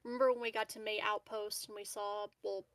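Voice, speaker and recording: A woman with a gravelly fry in her medium-pitched voice talks casually as a tabletop game player. She thinks aloud in a loose, tentative way, recorded close and dry. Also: little pitch movement, brisk, fairly bright tone, no echo.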